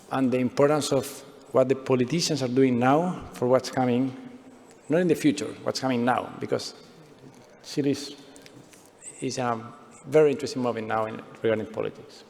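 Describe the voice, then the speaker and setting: A man introducing a panel at an event, announcing with some halting phrases. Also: medium pitch, darkish tone. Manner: announcing, switching into halting Spanish. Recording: long reverb of a conference hall.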